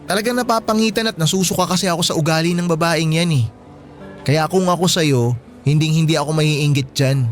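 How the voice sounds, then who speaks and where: A high-pitched young Filipino man acting as a schoolboy boyfriend in a radio drama. He is blunt and insistent, yet playful and sweet, with faint music behind him. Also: brisk, lightly acted. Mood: frank, disapproving.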